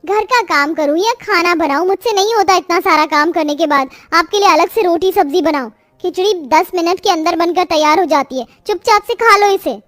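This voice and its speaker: A woman's voice: very high-pitched, moderate pace, few pauses, fairly bright tone, no room sound. A woman playing an irritable, complaining young daughter-in-law in a moral-story cartoon, with a scolding, exasperated manner. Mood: irritated.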